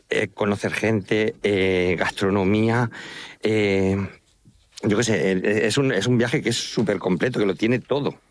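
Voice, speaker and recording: A middle-aged man from La Mancha with a Manchego accent, a travel agency founder talking loosely with many fillers while walking outdoors. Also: on the low side. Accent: Manchego Spanish. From Spain, La Mancha. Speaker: pilgrimage travel agency founder, phone guest. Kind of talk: casual radio interview. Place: walking outdoors along an estuary.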